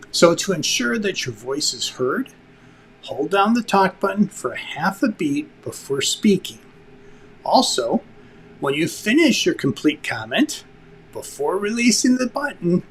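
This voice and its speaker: A man's voice: fairly high pitch, a bit bright, a little hiss or hum, rough recording. A man presenting an instructional lesson to viewers in a conversational, emphatic way, like a director explaining the basics.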